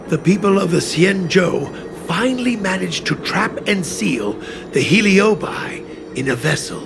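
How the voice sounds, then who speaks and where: An actor playing a stern, earnest master artisan in a video game cutscene, speaking in a measured, formal, solemn way. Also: male voice, a little high, creaky, exaggerated voice. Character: stern, earnest master artisan who tends an ancient furnace. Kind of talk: scripted game cutscene dialogue, expository storytelling. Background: prominent music.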